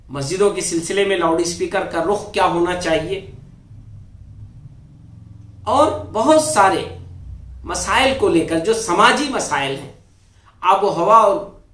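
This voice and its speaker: A man lectures on religion from some distance off the microphone in an echoey room. His delivery is deliberate and explanatory, with an orator's pauses and repeated phrases. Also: high voice, a bit bright. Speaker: Islamic scholar giving a religious talk. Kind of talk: religious lecture in formal Urdu. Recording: roomy echo, distant microphone.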